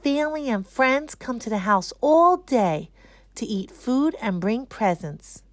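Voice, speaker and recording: Storybook boy, read by a woman: sincere, happy, sing-song explainer with raised pitch, slow pace. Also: animated, no room sound, clean studio sound. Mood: happy, proud. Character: young schoolboy Musa, sincere and happy to share. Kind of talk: children's storybook read-aloud.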